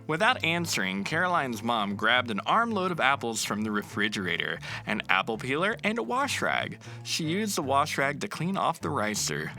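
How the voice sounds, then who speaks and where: A man narrating a children's picture book aloud in an expressive, storytelling way. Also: mid-range voice, moderate pace, gravelly, hardly any pauses, soft background music.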